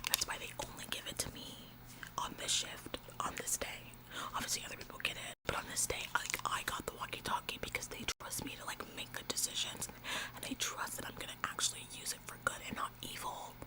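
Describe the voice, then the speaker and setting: A woman's voice: fairly quick, natural voice, rough recording. A woman playing a bossy, self-important young retail employee in an ASMR roleplay, earnest and stammering over repeated words. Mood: indignant, smug.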